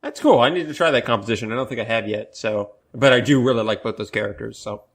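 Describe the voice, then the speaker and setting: A man chatting casually about video games as a podcast co-host, telling stories with animated quoted asides. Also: mid-range voice, fast.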